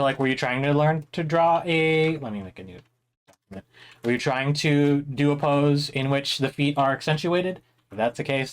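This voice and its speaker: A young man, a little hoarse, teaching a drawing class on a live stream in a chatty, rambling way with many fillers. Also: medium pitch. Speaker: art instructor streaming a drawing workshop.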